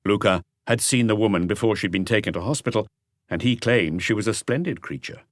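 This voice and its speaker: A man reading a crime novel as an audiobook, in measured, articulate scripted narration. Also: lowish voice, no echo, clean studio sound.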